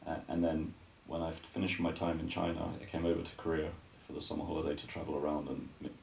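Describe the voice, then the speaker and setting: A man in his forties from the United Kingdom, talking as a guest in a casual radio interview, relaxed and hesitant with many restarts. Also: a bass voice, quick pace, creaky, echoey room, far from the mic, a little hiss or hum.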